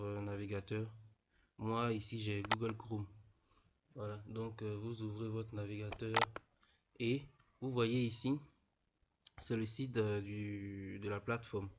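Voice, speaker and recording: A young man, his voice stuffy from a cold and sitting a little low. He explains things plainly and leans on the same phrases over and over, speaking to fellow students as equals. The recording is dull and lo-fi. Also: slight background noise. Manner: repetitive, peppered with "voilà", addresses students informally. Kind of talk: casual explanatory screen tutorial.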